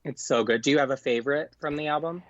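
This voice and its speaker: A man's voice: mid-range voice, fast talker, no room sound. A young man speaks as a guest calling in to a podcast. He is effusive and chatty, leaning on "like" as he goes. The sound is dry and slightly muffled, like a lo-fi remote call. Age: young adult. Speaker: podcast guest, actor and comedian. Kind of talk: casual chat.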